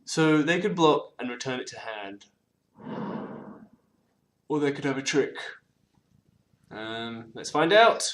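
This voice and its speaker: A man's voice: medium pitch, lively intonation, takes it slowly, long pauses, a bit bright. Chatty man narrating his card game. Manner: chatty, restarts words, murmurs card names. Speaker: co-host playing a card game. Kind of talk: casual gameplay commentary. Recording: faint background noise.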